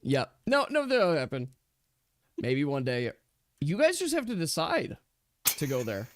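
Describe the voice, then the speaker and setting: A man's voice: fairly high pitch, wildly swooping pitch, a little slow, no room sound, clean studio sound. A man speaking as a game master, chatting casually and explaining things with emphatic repetition. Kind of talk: casual chat after a tabletop game session.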